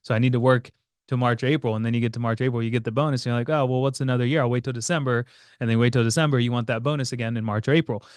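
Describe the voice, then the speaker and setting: A man co-hosting a podcast as a financial planner, explaining in a casual, halting way with many fillers. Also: medium pitch, steady tone, clean tone, no echo. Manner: hesitant, many fillers and restarts.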